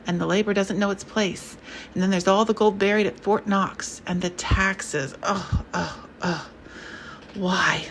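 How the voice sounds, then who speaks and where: Woman reading as a fussy older gentleman, pompous, gravelly edge, grumbling, indignant. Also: mid-range voice, slight background noise, close to an everyday voice, low-quality recording.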